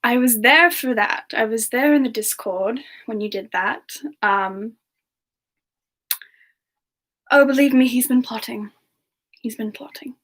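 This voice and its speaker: A woman playing a sweet, bashful female demon singer, soft-spoken and hesitant, in a semi-ASMR livestream chat. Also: on the high side, normal speed. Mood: amused, shy.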